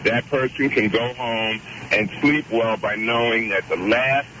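A deep-voiced man calling in to a radio talk show, earnest and wordy, often cutting in to make his point. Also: unhurried. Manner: earnest, wordy, interrupts with repeated "wait a minute". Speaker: caller. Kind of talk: radio call-in chat. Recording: dull radio audio with clear hiss.

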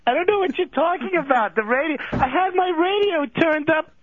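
A man's voice: very high-pitched, phone-quality sound, heavy background noise. A man on a radio show voicing a fawning, dotty old male phone-in caller who rambles and coos. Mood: doting. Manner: rambling, cooing and drawn-out.

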